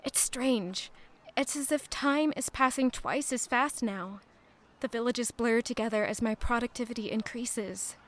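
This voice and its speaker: A woman's voice: on the high side, measured pace, close to an everyday voice. A woman playing an earnest, idealistic young noblewoman in an audio drama, with a light way of speaking. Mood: reflective, earnest.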